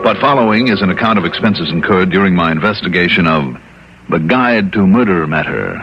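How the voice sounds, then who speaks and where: An actor playing a wry American insurance investigator in a vintage radio detective drama. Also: male voice, sits low, expressive, a little fast, soft background music. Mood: dry narration. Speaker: radio drama lead and narrator. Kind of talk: 1950s-60s American radio detective acting. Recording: dull vintage radio recording.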